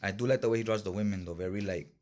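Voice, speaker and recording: A man's voice: on the low side, very fast, a little mellow. A man chatting casually in slangy, informal fan talk as he reviews things for a vlog. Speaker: comic book haul reviewer.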